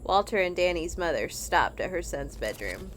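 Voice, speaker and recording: A woman reading a children's story aloud in an animated, expressive way, as in a read-along for kids. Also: lowish voice, normal speed, slight background noise, rough recording. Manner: animated, acts out dialogue.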